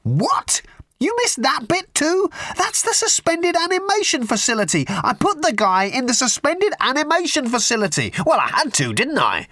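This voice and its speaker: A man voicing a manic, excitable male galactic hitchhiker who rants loudly and emphatically in an audiobook. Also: fairly high pitch, moderate pace, dry recording, pitched above the performer's own voice. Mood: screaming outrage. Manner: loud, emphatic, indignant.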